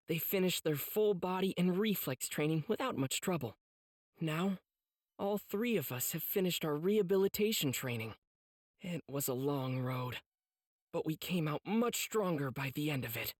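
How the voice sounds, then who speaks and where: A woman's voice: on the low side, a little mellow, dry recording. An earnest teenage boy hero from an anime game. He speaks politely and eagerly, with a kind heart. The recording is dry and studio-close.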